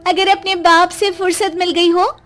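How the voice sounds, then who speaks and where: A woman voicing a sharp-tongued young wife in a cartoon story, scolding and mocking. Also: very high voice, little pitch movement, normal speed, pitched above the performer's own voice.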